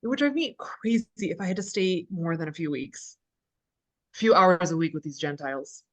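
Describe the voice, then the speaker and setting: A woman's voice: lowish voice, normal speed, lightly acted. A woman playing a sarcastic, irreverent female show host in a wry, exasperated manner at a comedy table read.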